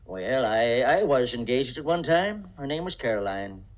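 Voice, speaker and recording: A man acts a timid older bachelor in a 1950s radio play. He is mild and wistful, hesitating often, with a very animated pitch. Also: normal speed, highs cut off, close to an everyday voice. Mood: hesitant, wistful. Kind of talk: 1950s radio drama acting.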